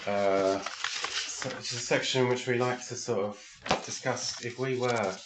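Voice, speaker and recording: A man's voice: medium pitch, fairly even intonation, unhurried. A man co-hosting a podcast, rambling and hesitant with lots of fillers. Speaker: podcast co-host. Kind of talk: casual chat. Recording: roomy echo with background noise.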